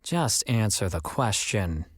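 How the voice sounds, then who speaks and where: A man's voice: lowish voice, sing-song, takes it slowly, slightly rough, no echo, clean studio sound, full character voice. Man acting an exasperated, weary young policeman.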